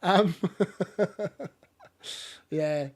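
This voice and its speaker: A man's voice: sits high, very slow. A man hosting a casual football podcast, rambling and laughing often, still getting over a cough. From Australia. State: lingering cough. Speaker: podcast host.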